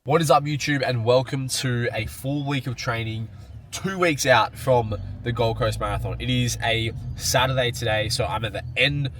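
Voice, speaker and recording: A young man vlogs with a stuffy, nasal head-cold voice, chatty and upbeat and full of "like" and "um." His pitch sits mid-range for a man. Clear car and street noise runs under him. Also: normal speed, fairly bright tone. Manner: chatty and upbeat, many fillers. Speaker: running vlogger. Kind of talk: casual vlog talk to camera. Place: in a car, then at an outdoor sports field. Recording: noisy car and outdoor sound.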